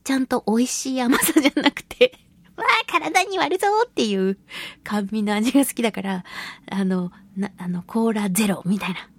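A woman hosting a casual solo radio talk and reading listener mail, chatty, giggly and expressive, with a slightly breathy voice. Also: fairly high pitch, moderate pace, dry recording. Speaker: radio show host and voice actress.

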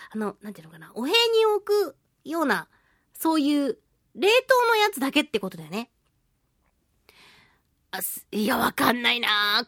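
A woman voice actress hosting a chatty solo radio show, slightly nasal from a mild head cold, with an animated, playful and self-deprecating manner. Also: sits high, no echo, studio quality. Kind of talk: chatty solo radio talk with listener mail.

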